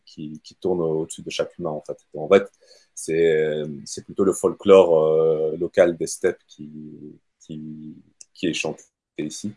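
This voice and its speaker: A man's voice: very deep voice, little pitch movement, unhurried, mellow, husky. A man from Kazakhstan, a native Russian speaker, answering interview questions about his band's songs, thoughtful and hesitant with many fillers. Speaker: band musician.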